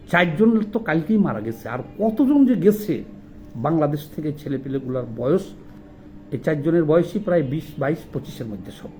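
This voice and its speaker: A man's voice: fairly high pitch, dark tone. A man with a Bangladeshi accent delivering an impassioned political monologue, indignant and emphatic, often putting rhetorical questions. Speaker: political commentator.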